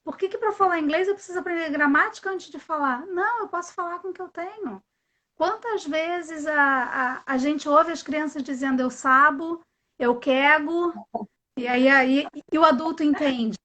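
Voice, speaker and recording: A woman with a high voice leads a live video chat about learning English. She talks casually and with animation, keeps asking questions and gives everyday examples to make her points. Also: moderate pace, bright, forceful tone. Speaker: English teacher hosting a live stream. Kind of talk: casual conversational teaching.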